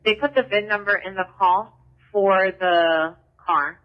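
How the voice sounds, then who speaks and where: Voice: mid-range voice, measured pace. A police dispatcher giving terse coded calls in a procedural, clipped way over a radio transmission. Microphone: radio dispatch mic. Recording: muffled lo-fi police scanner radio.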